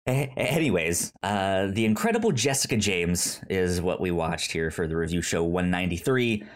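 A man hosting a casual film review podcast, talking haltingly and often stammering over the start of words. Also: deep voice, lively intonation. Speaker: podcast host.

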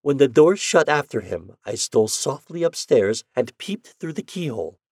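An eager young errand boy reporting earnestly in a raised, lively voice, as a man puts him on. The recording is dry and close. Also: mid-range voice, moderate pace, husky, dry recording, pitched above the performer's own voice.

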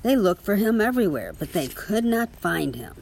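A woman reading a children's picture book aloud in an expressive storytelling manner. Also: mid-range voice, normal speed, vocal fry, background noise. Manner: expressive storytelling with small spoken asides. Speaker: story reader.

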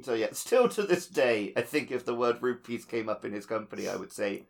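A man speaks as a podcast co-host in a casual, playful manner. His pitch moves around a lot and his voice often crackles into fry, giving his chatty, jokey storytelling an animated feel. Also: brisk. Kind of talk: casual chat, telling anecdotes.

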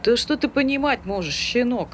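A testy older woman in a naturalistic play, pitched high. She is superstitious and curt, with homely everyday speech. Traffic is clearly heard around her. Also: lively intonation, normal speed. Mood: hostile. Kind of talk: naturalistic stage dialogue. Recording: street ambience with vehicle noise.

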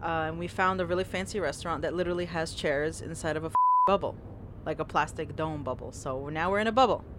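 Brooklyn-raised young woman guest, first language Algerian Arabic, blunt and laughing, noisy street rumble behind her. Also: quick pace, few pauses. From New York (Brooklyn). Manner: candid, laughing, frank. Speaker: guest, dancer and teaching artist. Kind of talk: casual interview. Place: outdoors inside a plastic dome near a park. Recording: noisy outdoor recording, traffic rumble.

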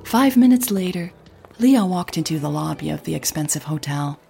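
A woman reading scripted audio drama narration in a husky voice. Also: low voice, lively intonation, normal speed, mellow, faint music behind.

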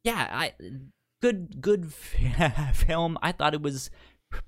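A man's voice: a little high, expressive, takes it slowly, fairly bright tone. A man co-hosting a podcast, chatting casually about films, often stammering and repeating words as he goes. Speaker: podcast co-host. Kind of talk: casual movie discussion.

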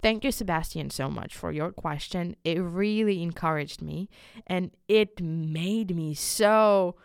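Young woman w ukrainian accent doing a solo podcast, smooth clear voice, dry close sound, earnest n halting. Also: fairly low pitch, dry recording. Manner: earnest, halting, repeats words for emphasis. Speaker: podcast host. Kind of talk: informal solo podcast monologue.

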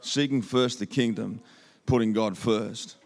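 A man's voice: on the low side, unhurried, gravelly. A man with an Australian accent giving a church sermon as a guest speaker, upbeat and conversational, repeating key phrases for emphasis. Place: church auditorium. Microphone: handheld microphone.

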